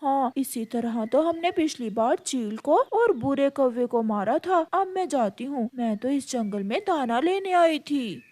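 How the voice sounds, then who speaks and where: Woman playing female myna, clever, proud, calm, self-assured, high-pitched, dry recording, kids' cartoon. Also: measured pace, few pauses, dry recording. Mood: matter-of-fact. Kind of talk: children's cartoon dialogue.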